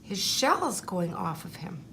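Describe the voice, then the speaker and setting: A woman reading a picture book aloud expressively, pausing to comment on the pictures. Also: lowish voice, very animated, bright, forceful tone, a little hiss or hum. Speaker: school superintendent reading aloud.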